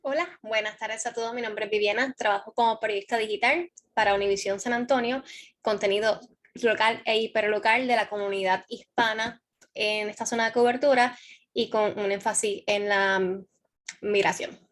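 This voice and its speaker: A Puerto Rican woman with a mid-range voice introduces herself over a video call. She sounds polite and relaxed. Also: medium pitch, moderate pace. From Puerto Rico. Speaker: digital journalist. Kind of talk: self-introduction.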